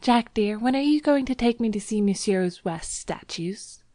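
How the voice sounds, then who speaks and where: A woman playing an anxious young woman, higher-pitched. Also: animated, measured pace, no room sound, studio quality. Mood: affectionate. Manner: pleading, nervous. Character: anxious, tender young woman in wartime Paris. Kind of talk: audiobook character dialogue.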